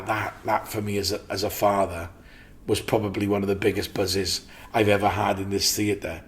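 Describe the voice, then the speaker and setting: An older man with a Welsh accent, reminiscing about his acting career as a warm storyteller who often restarts his sentences. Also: on the low side. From Swansea, Wales. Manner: warm storyteller, brightens and gets louder when enthusiastic, frequent restarts. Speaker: actor recalling his theatre career. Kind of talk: reminiscing in an interview.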